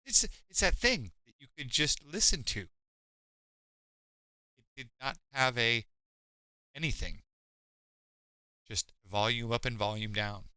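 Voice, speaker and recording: A man's voice: medium pitch, slow, pauses often, smooth, dry recording. A man co-hosting a live painting stream, bantering casually and sometimes stammering into his sentences. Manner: relaxed banter with a few stammered restarts. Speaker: co-host. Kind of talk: casual chat.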